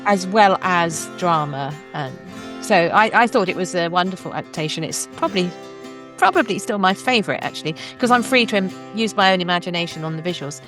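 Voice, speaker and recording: A woman's voice: lowish voice, expressive, fairly quick, over music. A woman hosting a podcast interview in a conversational style.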